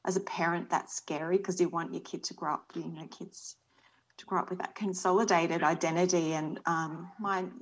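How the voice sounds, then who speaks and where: A woman's voice: lowish voice, slight background noise, poor audio. An Australian woman in her forties speaking earnestly and thoughtfully as a panel guest over a video call from a small room. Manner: earnest, thoughtful, soft-spoken. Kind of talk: reflective panel talk. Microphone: video call webcam.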